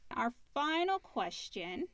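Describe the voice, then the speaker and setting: Higher-voiced woman co-hosting a podcast. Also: big pitch swings, slow pace, a bit bright, no room sound. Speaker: podcast co-host. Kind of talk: casual Q&A chat, reading listener questions.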